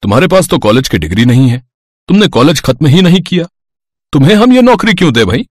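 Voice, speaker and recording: Guy doing a strict boss man in a cartoon, curt, raspy, really animated pitch. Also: fast talker, no echo, studio quality. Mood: stern. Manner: curt, demanding. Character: stern, dismissive male authority boss. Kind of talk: animated moral story character acting.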